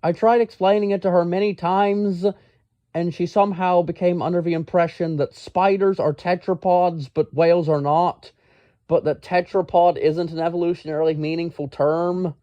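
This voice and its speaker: A man telling a casual, rambling story in a pedantic, exasperated, halting manner. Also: high voice, steady tone, no room sound, lo-fi sound. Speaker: storyteller, amateur science enthusiast.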